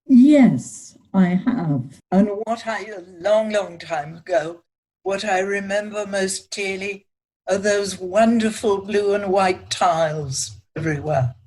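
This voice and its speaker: An older woman speaks as an interview guest, measured and thoughtful. She talks slowly at an average pitch. Her voice is a little husky. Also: darkish tone. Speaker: guest, culinary historian. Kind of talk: podcast interview.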